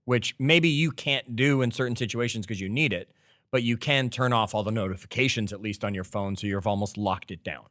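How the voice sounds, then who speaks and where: Male co-host, very brisk pace, casual conversational delivery, close dry recording. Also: lowish voice, fairly bright tone, no echo. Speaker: podcast co-host. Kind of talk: casual discussion, prompting the host.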